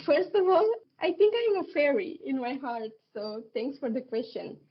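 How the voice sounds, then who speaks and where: A woman in her thirties from Romania, speaking English as a podcast guest, laughing often and pausing with fillers. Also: high voice, very animated, muffled recording. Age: 30s. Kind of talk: casual interview chat.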